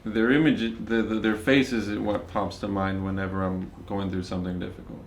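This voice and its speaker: A man's voice: fairly low pitch, little pitch movement, moderate pace, slight background noise. A young man from Venezuela volunteering at a charity kitchen, talking in an easygoing way and laughing often. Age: young adult. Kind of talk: casual conversation.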